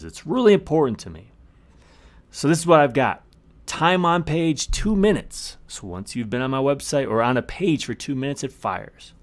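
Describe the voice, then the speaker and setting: A man hosting a podcast, explaining tips in a scripted monologue with emphatic, short punchy phrases. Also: mid-range voice. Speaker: podcast host.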